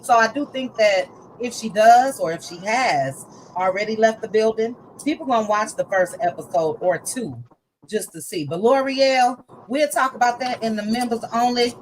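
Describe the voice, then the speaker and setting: Lady hosting a gossip stream, slangy and animated, speeds up then drags words. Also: on the high side, slight background noise, poor audio. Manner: animated, slangy, drawls some words and rushes others. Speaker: livestream host. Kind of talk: casual gossip chat.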